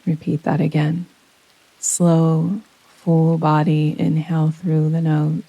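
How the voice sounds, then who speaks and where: Female meditation guide: soothing, very even intonation, long unhurried pauses, intimate dry sound with rain behind. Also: sits low, dry recording, lo-fi sound. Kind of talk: guided yoga nidra relaxation meditation. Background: soft rain sounds.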